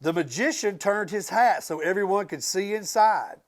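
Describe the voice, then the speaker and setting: Man, higher-pitched voice, very lively intonation, expressive storybook read-aloud, casual asides to kids. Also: moderate pace. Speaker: school transportation director reading aloud to students. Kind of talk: animated picture-book read-aloud with casual asides to children.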